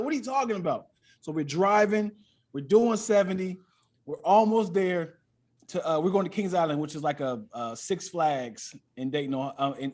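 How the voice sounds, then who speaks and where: A man who is a comedian guesting on a podcast, talking in a casual comic storytelling style and acting out dialogue. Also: on the high side. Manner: animated, acts out quoted dialogue.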